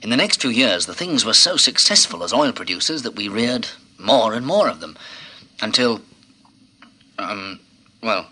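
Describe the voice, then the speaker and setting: Deep, husky English narrator. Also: male voice, animated, normal speed, long pauses, pushed and bright, no room sound, exaggerated voice, rough recording. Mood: sober, recounting. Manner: sober, reflective. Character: grave male survivor narrating his catastrophe story. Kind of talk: scripted dramatic first-person narration.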